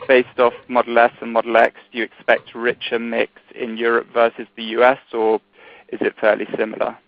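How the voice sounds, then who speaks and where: A man asks questions as an analyst on an earnings call. His mid-pitched voice stays flat and even, and he is polite and businesslike. The phone line is slightly dull. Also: brisk, gravelly, no room sound.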